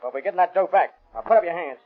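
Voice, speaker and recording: Fast-talking 1930s radio gangster. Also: male voice, high voice. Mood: menacing. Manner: clipped tough-guy slang. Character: cocky, menacing male stickup gangster, coolly ruthless. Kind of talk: 1930s radio crime drama acting. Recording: tinny, band-limited 1930s radio recording.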